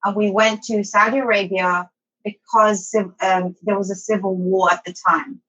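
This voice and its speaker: A woman's voice: mid-range voice, steady tone, moderate pace, fairly bright tone, lo-fi sound. A woman from Somalia, an English teacher, telling her life story as an interview guest, hesitant with many ums and restarts.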